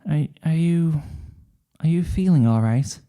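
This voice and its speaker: A man voicing a shy, timid, soft-spoken cartoon girl who stammers hesitantly in a story reading. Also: on the high side, lively intonation, a little slow. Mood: hesitant concern. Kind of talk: fan fiction story reading.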